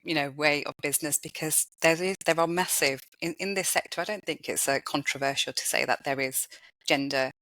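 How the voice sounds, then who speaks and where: A woman's voice: fairly quick, a bit bright, dry recording, rough recording. A woman talking as a podcast guest, thoughtful and full of small restarts and "you know"s. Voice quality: high-pitched voice. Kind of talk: casual interview conversation.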